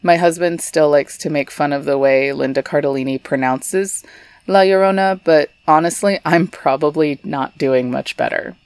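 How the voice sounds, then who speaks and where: A woman's voice: low-pitched, moderate pace, a little mellow, clear, resonant tone, no echo. A young adult woman from Texas, hosting a scripted storytelling podcast with chatty, wry asides. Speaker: podcast host.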